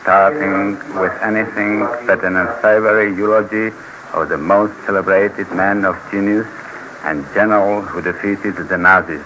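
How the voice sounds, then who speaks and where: A man reading a translated commentary for 1950s radio, formal, deliberate and pointed. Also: lowish voice, fairly even intonation, lightly acted. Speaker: translator voice-over of a radio broadcast. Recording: noisy old radio recording.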